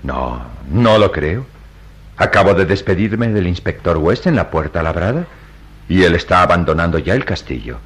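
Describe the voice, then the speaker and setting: A man playing a grave, reticent priest in a radio drama, speaking in a measured, solemn and hesitant way. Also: low-pitched, big pitch swings. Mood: calm, grave. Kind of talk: Latin American radio drama acting.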